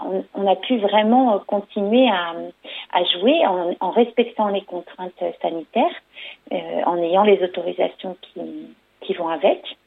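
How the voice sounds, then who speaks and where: A low, gravelly woman's voice comes over a thin phone line. She answers an interviewer thoughtfully, pausing often and restarting her sentences as she searches for words. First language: French. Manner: hesitant, many fillers and restarts. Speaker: storyteller and performer. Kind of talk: radio interview.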